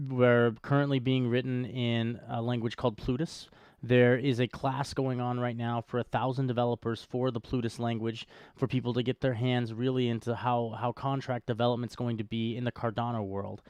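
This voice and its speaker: Male co-host, casual explainer. Clean, resonant tone, mid pitch, very dry sound. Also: flat delivery, moderate pace, darkish tone, clean tone, dry recording. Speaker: co-host of a crypto show. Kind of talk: casual explanatory livestream talk.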